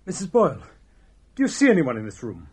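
A man's voice: a little high, huge pitch swings, quick pace, darkish tone, husky, exaggerated voice. An actor playing a pompous Victorian doctor who narrates his own curious tale in a comedy radio play. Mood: flustered. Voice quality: pompous, plummy.

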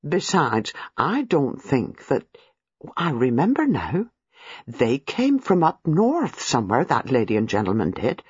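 Man voicing a nervous, chatty older servant woman, husky. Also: medium pitch, wildly swooping pitch, measured pace, no room sound, lo-fi sound, exaggerated voice. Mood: reminiscing, flustered. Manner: hesitant, deferential, rambling with rustic turns of phrase. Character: nervous, apologetic older female former servant, chatty and proper. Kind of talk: audiobook character dialogue.

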